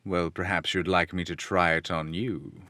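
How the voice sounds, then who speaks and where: A man playing a sly, menacing hypnotist villain in an old radio serial style, smug and deliberate. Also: deep voice, flat delivery, pushed and bright, no room sound, studio quality, pitched below the performer's own voice.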